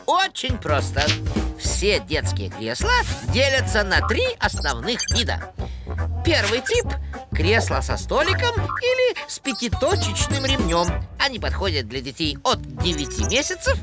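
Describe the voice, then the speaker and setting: A woman's voice: mid-range voice, huge pitch swings, unhurried. A woman voicing a motherly, instructive female owl in an educational cartoon, lecturing expressively. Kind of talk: animated educational cartoon acting. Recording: music behind the voice.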